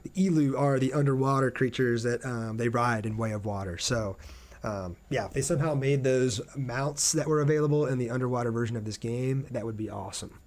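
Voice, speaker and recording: A man's voice: medium pitch, brisk, a little mellow. A man doing casual live gameplay commentary as a streamer, chatty, thinking aloud and reading out viewer messages.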